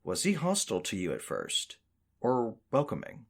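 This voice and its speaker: A man's voice: mid-range voice, huge pitch swings, moderate pace, vocal fry, full character voice. A man playing a showy news show host in an audio drama, speaking like a presenter with dramatic emphasis. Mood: polite. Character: male TV news reporter, showy but easily rattled. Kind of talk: audio drama acting as broadcast narration.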